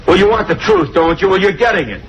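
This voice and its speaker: A man's voice: high-pitched, expressive, quick pace, poor audio. An actor playing an evasive, defensive salesman under police questioning in a 1950s radio drama, hesitant and protesting. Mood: pleading. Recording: old radio recording, faint noise.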